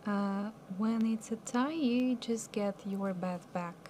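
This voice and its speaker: Soft-spoken young woman dealing cards slowly. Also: mid-range voice, slight background noise. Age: young adult. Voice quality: soft, mumbly. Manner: calm, polite, repetitive dealer calls. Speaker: live casino card dealer. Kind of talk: announcing card game rounds. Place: casino studio table set.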